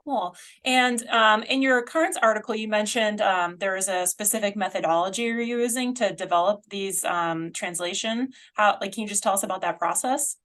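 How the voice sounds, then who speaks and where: A woman's voice: mid-range voice, normal speed, few pauses, a bit bright. A woman hosts a podcast interview with a mid-range voice. She asks her questions in a friendly, casual way. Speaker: podcast host.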